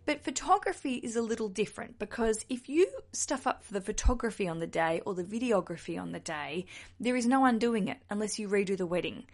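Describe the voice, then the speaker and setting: A woman's voice: mid-range voice, animated, a little fast. An Australian woman hosting a podcast alone, chatty, jokey and candid. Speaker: podcast host. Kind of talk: solo podcast chat.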